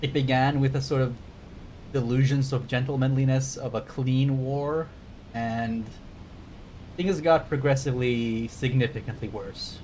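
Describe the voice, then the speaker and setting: A man's voice: fairly even intonation, measured pace, a little mellow, low-quality recording. A man giving bookish, wry commentary as a game streamer, sometimes reading passages out. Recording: clear rumbling background noise.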